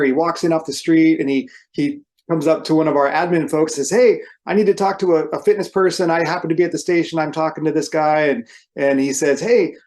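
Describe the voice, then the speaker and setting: A man's voice: on the high side, a little mellow. A man speaking as a podcast guest, casually explaining his ideas through anecdotes. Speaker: podcast guest, fitness trainer.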